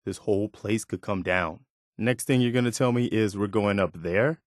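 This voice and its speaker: An actor playing an earnest, dutiful militia soldier in a post-apocalyptic video game, speaking in a plain, folksy way. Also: male voice, fairly low pitch, moderate pace, no echo, studio quality, lightly acted. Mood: earnest, wary. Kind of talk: video game companion voice lines.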